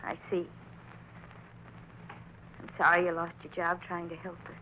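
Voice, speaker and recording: A woman's voice: fairly low pitch, completely flat delivery, measured pace, natural voice. An actress playing a protective, worried young woman in 1940s radio drama style. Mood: subdued worry. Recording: muffled, hissy old radio recording.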